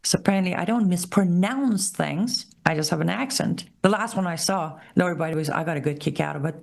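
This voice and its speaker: Low, gravelly Iranian-accented woman commentator. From Iran. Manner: emphatic, deliberate, repeats key phrases slowly. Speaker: show host. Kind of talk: political commentary monologue.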